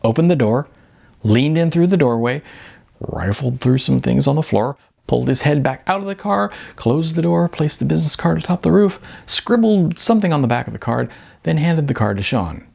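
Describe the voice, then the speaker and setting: A male author narrates his novel as an audiobook. His mid-range voice is husky and creaky, and it moves up and down a great deal as he reads. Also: on the high side, expressive, normal speed, darkish tone. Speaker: author reading own novel.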